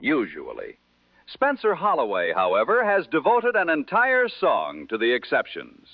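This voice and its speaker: A man playing a wry, musing 1960s radio host with measured, theatrical phrasing on a dull vintage broadcast. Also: medium pitch, wildly swooping pitch, no echo. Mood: smooth announcing. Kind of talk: scripted 1960s radio show banter.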